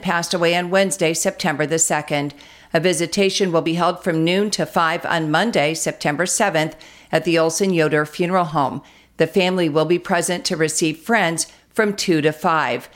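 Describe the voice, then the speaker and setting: Female radio news announcer, American, on the lower side, scripted delivery. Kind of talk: scripted local news reading.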